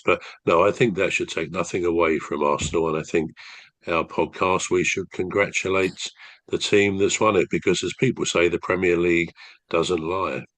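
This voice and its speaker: A man from England, co-hosting a football podcast in a measured, thoughtful way, his nose still blocked from a cold. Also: deep voice, a bit bright, no room sound. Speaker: podcast co-host, former referee. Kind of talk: casual podcast chat.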